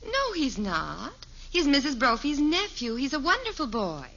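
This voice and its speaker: An impatient, practical American mother in a 1950s radio sitcom. Her highish voice is brisk and exasperated with lively swings in pitch, heard through a muffled old recording. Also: exaggerated voice. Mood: impatient, insistent.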